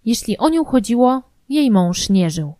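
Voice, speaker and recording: A woman reading scripted documentary narration in a formal, measured manner. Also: a little high, very animated, mellow, no echo.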